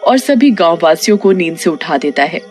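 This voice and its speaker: A story narrator delivers scripted lines with lively intonation. The voice sits at a middle pitch over faint background music. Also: normal speed.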